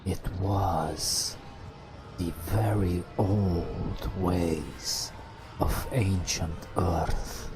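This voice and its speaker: A man telling a bedtime story in a hushed ASMR style, in drawn-out phrases with long pauses. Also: low voice, fairly even intonation, noisy background. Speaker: narrator. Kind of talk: whispered ASMR bedtime storytelling over game footage.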